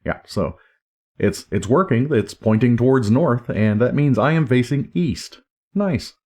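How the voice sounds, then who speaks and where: A man's voice: medium pitch, expressive, measured pace, darkish tone. Casual man giving an off-the-cuff product review. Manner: informal, off-the-cuff. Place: indoors at home. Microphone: camera or recorder microphone.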